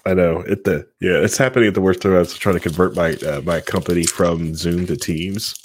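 Low-pitched, slightly rough man chatting casually. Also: steady tone. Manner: halting, repeats words and phrases. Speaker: podcast co-host. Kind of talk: casual podcast chat.

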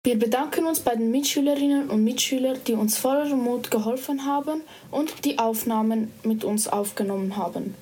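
A woman's voice: on the high side, moderate pace, hardly any pauses, mellow. A teenage female student reporter reading a scripted report.

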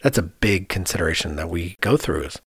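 A man's voice: low voice, animated, a little fast, gravelly, a bit bright, husky, no room sound, studio quality. A man co-hosting a podcast, explaining things casually and conversationally, often thinking aloud. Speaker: podcast co-host, architect.

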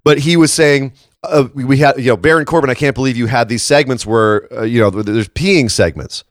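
A man's voice: medium pitch, fairly quick, no room sound. Guy podcasting with a froggy morning voice, casual but emphatic, close dry sound. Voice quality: froggy, slightly hoarse voice. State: tired froggy morning voice after a late shift. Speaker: podcast host. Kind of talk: casual wrestling commentary chat.

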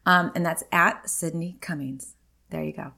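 Woman in her 40s rambling on her podcast, lowish voice, lots of ums and likes. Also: steady tone, normal speed, creaky, bright, forceful tone. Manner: chatty and candid, many ums and likes. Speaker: podcast host. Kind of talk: casual solo podcast chat.

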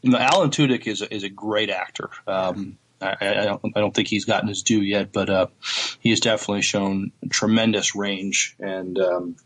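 A man's voice: lowish voice, fairly even intonation, normal speed, low-quality recording. A man in a casual podcast discussion, talking in a hesitant, thoughtful way as he gives his opinions. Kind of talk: casual TV review chat.

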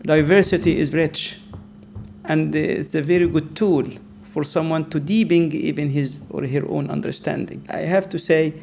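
Gravelly imam, hesitant speech. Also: male voice, a little high, steady tone, normal speed, a little mellow, slight background noise. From Egypt. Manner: hesitant, restarts with many uhs. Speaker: imam and campus chaplain. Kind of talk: interview soundbite.

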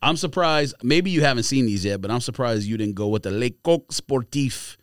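A man with a mid-pitched, animated voice, recorded dry with no room sound. He banters casually and slangily, needling the others as a podcast co-host. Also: normal speed, no room sound. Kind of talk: casual group banter about sneakers.

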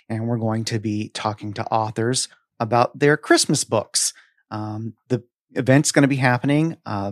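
A man's voice: medium pitch, no room sound, clean studio sound. A middle-aged man speaking as a podcast host in a casual, conversational style.

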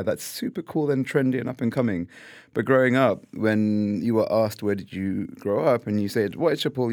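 Man, 30s, reflective interview guest, deep-ish voice, dry close mic. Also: no echo. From London, England. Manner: thoughtful, restarts and repeats words, shifts between lively and hushed. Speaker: podcast guest.

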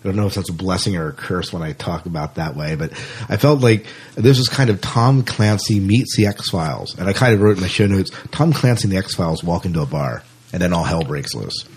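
Male podcast host, deep husky voice, casual and rambling, restarts often. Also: animated, brisk. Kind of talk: casual interview chat.

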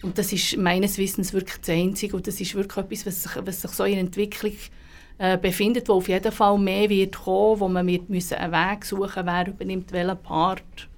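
A woman interpreter, speaking casually in quick, eager bursts with restarts and repeated words. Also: mid-range voice, little pitch movement. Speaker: sign language interpreter. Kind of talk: casual chat.

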